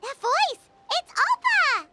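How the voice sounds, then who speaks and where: A woman voicing a small, excitable childlike fairy companion in an anime game, squeaky and inquisitive. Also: takes it slowly, heavily acted. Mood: excited. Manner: exclaiming, inquisitive. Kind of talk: anime game dub acting. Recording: music under the voice.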